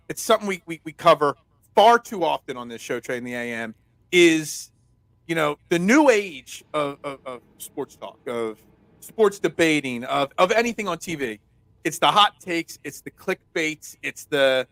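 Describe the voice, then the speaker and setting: A man hosting a sports talk show, loud and chatty, telling rambling stories. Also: on the high side, lively intonation, moderate pace, gravelly, no echo. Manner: chatty, rambling storyteller with many restarts. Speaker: host. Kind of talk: casual sports talk interview.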